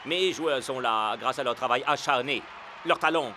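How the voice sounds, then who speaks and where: An actor playing a proud, principled team manager in a cartoon, speaking formally and articulately. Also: male voice, medium pitch, normal speed, pushed and bright, slight background noise, lightly acted. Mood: firm, reproachful. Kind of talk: animated cartoon dubbing.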